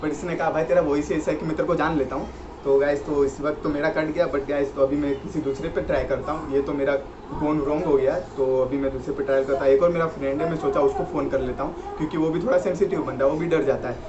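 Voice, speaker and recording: A young Indian man with a slightly high voice chats casually to camera as he hosts a prank video. The sound is dull, with clear background noise in a small room. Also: fairly even intonation, fast talker. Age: young adult. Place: small plain room indoors. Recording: dull, noisy room recording.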